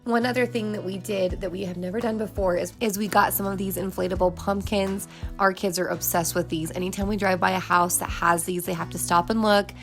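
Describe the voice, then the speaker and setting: A woman's voice: mid-range voice, steady tone, fairly quick, soft background music. A woman in her thirties, sick and losing her voice, chatting in a nasal tone as she narrates a home decorating vlog. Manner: chatty and upbeat, with fillers like "um" and "like". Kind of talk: casual voice-over narration.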